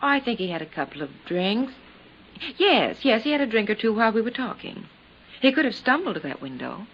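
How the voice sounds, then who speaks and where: An actress playing a composed widow who answers questions calmly in a 1950s radio drama. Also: female voice, on the high side, fairly quick. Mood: cool. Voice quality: cool, unhesitating answers. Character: cool, composed widow, unemotional. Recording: dull lo-fi old radio with faint noise.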